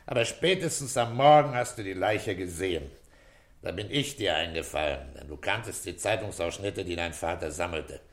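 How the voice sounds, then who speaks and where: An actor playing a middle-aged police inspector in a German radio drama, speaking in a calm, coaxing, fatherly and shrewd manner. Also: low voice, moderate pace.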